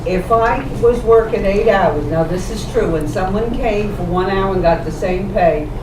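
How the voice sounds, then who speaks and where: A woman's voice: medium pitch, normal speed. A woman in a class offering an informal comment from the audience. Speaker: class participant. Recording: distant, echoey, noisy room pickup.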